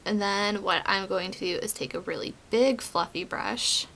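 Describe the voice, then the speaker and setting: A young woman talks through her makeup routine in a casual, chatty way, filling pauses with "um" and "like". Her tone is bright and a little edgy, and her voice is worn from a cold. She sits close to a webcam in a small bedroom. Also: medium pitch, gravelly, low-quality recording. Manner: chatty, relaxed, many fillers like "um" and "like". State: getting over a cold, voice going in and out. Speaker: beauty vlogger.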